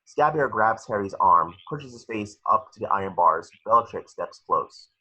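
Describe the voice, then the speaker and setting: A man narrating stage directions for a script table read, in measured, dramatic short phrases. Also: on the low side, lively intonation, slightly rough, highs cut off.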